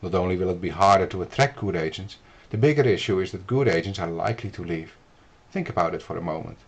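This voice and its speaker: A man from the Netherlands with a Dutch accent, explaining steps to the viewer in an earnest, measured instructional video. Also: low-pitched, animated. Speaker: business consultant.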